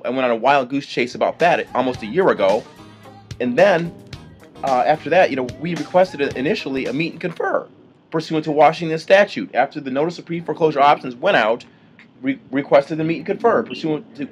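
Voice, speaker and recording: A man making an assertive, argumentative complaint on a phone call, speaking informally and indignantly. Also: a little high. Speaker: complaining caller, authorized third-party contact.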